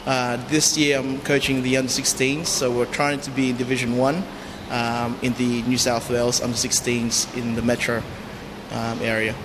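A man from the Philippines giving unscripted, halting interview answers as a youth coach. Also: medium pitch, level tone. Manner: hesitant, halting phrasing. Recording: long reverb, clear background noise.

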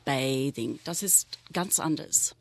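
A middle-aged Australian woman from New South Wales, a teacher whose first language is English, explaining pronunciation in German in a casual podcast chat and demonstrating sounds slowly. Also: rumbling low, gravelly. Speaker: co-host, teacher.

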